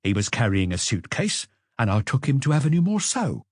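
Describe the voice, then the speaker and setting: A man's voice: mid-range voice, very animated, fairly quick, no echo, studio quality. A man voicing a plain-spoken male taxi driver who gives blunt, factual testimony in an audiobook.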